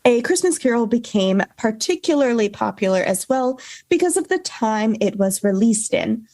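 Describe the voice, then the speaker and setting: A woman's voice: on the high side, very animated, moderate pace, warm tone, no echo. A woman co-hosting a podcast, telling a researched story in a casual way. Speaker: podcast co-host. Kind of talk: storytelling from notes with casual banter.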